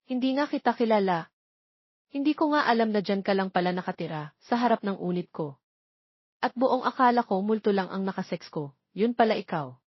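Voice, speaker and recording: A woman voicing an indignant, tearful, sharp-tongued young pregnant single woman in a dramatized romance story. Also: medium pitch, animated, brisk, husky, no room sound, lo-fi sound. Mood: angry, accusing.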